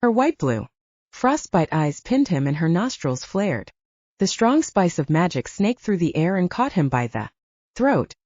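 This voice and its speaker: A woman narrates romance fiction in a scripted audiobook style. Her pitch sits a little low but moves in lively swings. The recording is close and dry. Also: a little slow, no echo, muffled recording.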